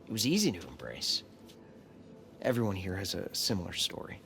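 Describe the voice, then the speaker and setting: A man's voice: low-pitched, sing-song, moderate pace, vocal fry, fairly bright tone, soft background music, exaggerated voice. A man playing an anxious, regretful follower of a cult in a video game, hesitant and subdued. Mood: somber, regretful. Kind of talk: naturalistic video game cutscene acting.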